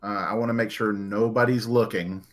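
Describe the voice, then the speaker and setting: A man's voice: fairly low pitch, steady tone. A man playing in a tabletop game, speaking carefully and casually. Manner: unhurried, deliberate. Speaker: player. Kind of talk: casual chat.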